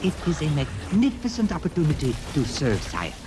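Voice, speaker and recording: An actor playing a sinister sanatorium doctor in an old radio drama, with formal, measured menace. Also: male voice, fairly high pitch, wildly swooping pitch. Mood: menacing, formal. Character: smooth-talking sinister male sanatorium doctor. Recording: old radio broadcast with music.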